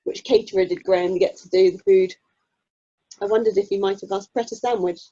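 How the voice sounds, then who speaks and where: An amateur actress playing a helpful, matter-of-fact single mum in a radio play. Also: female voice, mid-range voice, fairly even intonation, fairly quick, warm tone, breathy edge, close to an everyday voice. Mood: brisk, helpful. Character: helpful, practical single mum, drama club member. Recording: muffled, lo-fi video call audio.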